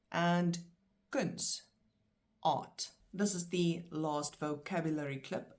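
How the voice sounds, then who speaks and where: A South African woman with a low voice works through a vocabulary list. She is middle-aged, speaks Afrikaans as her first language, and says each word slowly and distinctly before translating it. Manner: slow, clear word-by-word pronunciation. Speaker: language teacher. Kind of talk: vocabulary lesson.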